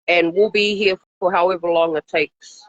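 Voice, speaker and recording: A woman's voice: lowish voice, fairly even intonation, normal speed, no echo. New Zealand woman activist, dull stream audio. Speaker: activist interviewee. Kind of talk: informal live interview account. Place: outside a hospital, live call.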